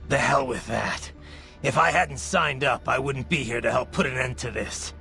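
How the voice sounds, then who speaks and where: A man voicing a brooding, bloodthirsty cyborg soldier in a video game, with a menacing gravelly growl and intense, clipped phrases. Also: medium pitch, normal speed, pushed and bright. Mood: defiant. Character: brooding, bloodthirsty male cyborg soldier, haunted former child soldier. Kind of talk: dramatic video game voice acting. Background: music.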